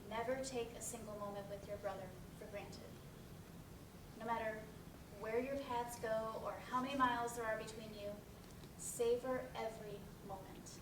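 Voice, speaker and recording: A woman's voice: fairly high pitch, lightly acted. A woman playing a grieving, guarded and earnest young woman in a dramatic play reading. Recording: roomy, distant, noisy room recording.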